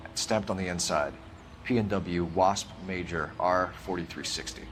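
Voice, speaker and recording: An actor playing a stern, probing police detective in a video game, formal and clipped. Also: male voice, sits low, normal speed, a bit bright, a little hiss or hum. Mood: businesslike. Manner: formal, clipped, pressing questions. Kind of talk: video game dramatic acting, interrogation.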